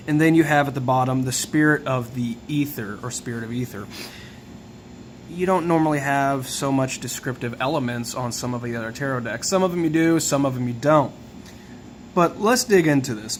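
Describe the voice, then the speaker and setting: A hoarse man hosting a video, explaining in a relaxed, musing way as he walks through details one by one. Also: medium pitch, slight background noise. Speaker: tarot video host. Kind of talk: casual explanatory talk.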